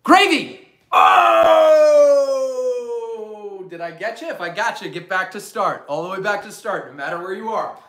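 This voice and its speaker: A man in his thirties coaching a live online gym class for kids from his living room, calling out energetic commands and countdowns. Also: sits high, unhurried. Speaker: fitness coach. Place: bright living room, indoors. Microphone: camera across the room. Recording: echoey room.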